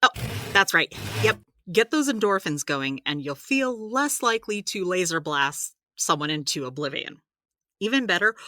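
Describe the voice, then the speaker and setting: Chatty, animated, bright-voiced healer. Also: female voice, lowish voice, no room sound, studio quality. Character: supernatural healer woman, practical, chatty, gently caring mentor. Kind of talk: audio drama dialogue.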